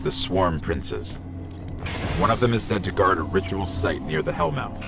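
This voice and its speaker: A man voicing a small robotic companion in a video game, a calm guide who talks in a measured, dryly informative way. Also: deep voice, creaky, music in the background, full character voice. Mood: focused, alert. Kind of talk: scripted game voice acting, mission narration.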